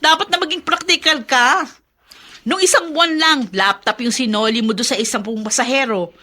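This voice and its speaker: An actress playing an exasperated, scornful, money-minded wife who scolds in a radio drama. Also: female voice, high voice, normal speed, pushed and bright. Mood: scolding.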